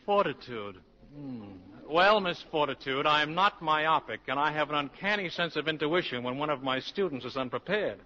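An actor playing a brisk, self-assured male English professor with dry wit and articulate, formal delivery, in 1940s radio style. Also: fairly high pitch, animated, pushed and bright. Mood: wry, commanding. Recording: dull, noisy 1940s radio broadcast.

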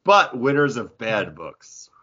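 Animated, bright-voiced man, slightly muffled recording. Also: mid-range voice, slow. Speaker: podcast host. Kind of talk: casual comic book chat.

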